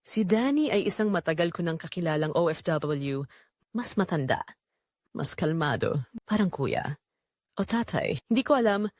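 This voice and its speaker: A woman's voice: on the low side, lively intonation, normal speed, a little mellow, no room sound, studio quality. A woman reading a first-person dramatic story in an emotional, confessional manner. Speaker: audio drama narrator.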